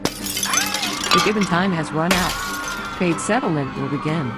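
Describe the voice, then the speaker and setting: A woman's voice: on the low side, very animated, unhurried, over loud music. A woman playing an impersonal game system announcer, delivering formal, orderly notices without emotion in an audio drama. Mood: cold, formal.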